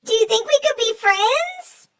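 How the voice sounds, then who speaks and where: A woman's voice: very high-pitched, expressive, measured pace, pitched above the performer's own voice, full character voice. A woman voicing a tiny, sweet, childlike storybook monster, pleading and innocent. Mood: sweet, hopeful.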